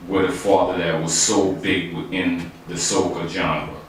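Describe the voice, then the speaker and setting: A man hosting a radio show, interviewing a guest in a relaxed, conversational way with some fillers. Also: sits low, measured pace. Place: radio studio. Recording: very echoey room, distant mic, faint noise.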